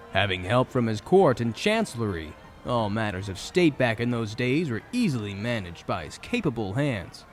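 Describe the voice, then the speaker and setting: A man narrating a scripted fantasy audio drama in a dramatic storytelling style. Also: fairly low pitch. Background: music.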